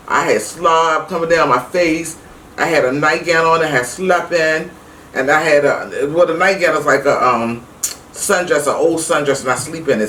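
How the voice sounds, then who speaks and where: A middle-aged woman telling a personal story casually to her viewers, emphatic and animated, acting out the dialogue as she goes. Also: deep voice. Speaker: vlog host. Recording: roomy, distant mic with faint noise.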